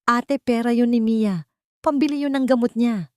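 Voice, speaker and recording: A woman's voice: on the high side, normal speed, dry recording, pitched above the performer's own voice, natural voice. A distressed young heroine of audio drama, voiced by a female narrator, protective and emotional. She pleads with feeling in a dry, close recording. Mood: angry distress. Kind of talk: audio drama acting in Tagalog storytelling.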